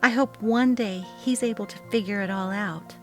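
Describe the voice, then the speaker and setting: A woman's voice: mid-range voice, normal speed, a little mellow, soft background music, voice pushed up, natural voice. A woman voicing a worried middle-aged mother in a dramatized text-message story, with an emotional, anxious manner. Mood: reassuring.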